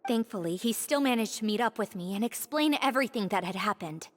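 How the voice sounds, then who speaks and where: A woman's voice: mid-range voice, animated, fairly quick, lightly acted. An actress playing a frightened, earnest young woman in a video game, speaking carefully and deferentially. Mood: anxious, earnest. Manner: careful, formal, hesitant.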